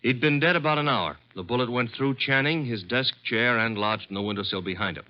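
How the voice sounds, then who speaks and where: An actor playing an easygoing, wisecracking detective's assistant in a vintage radio drama, casual and matter-of-fact. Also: male voice, mid-range voice, a little fast, close to an everyday voice. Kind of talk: 1940s-50s radio drama acting. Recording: dull vintage radio recording.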